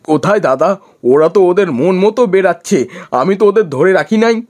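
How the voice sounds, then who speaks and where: A man's voice: high voice, expressive, normal speed, darkish tone, soft background music. A man playing an earnest, eager village husband and small trader in a cartoon story. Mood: puzzled. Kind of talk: Bengali cartoon story voice acting.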